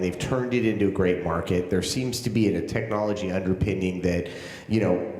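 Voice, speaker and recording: Deep-voiced man rambling on a panel. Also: a little mellow. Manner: rambling, filler-heavy, conversational. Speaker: venture capital panelist. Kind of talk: casual panel discussion. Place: conference hall. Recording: echoey conference hall.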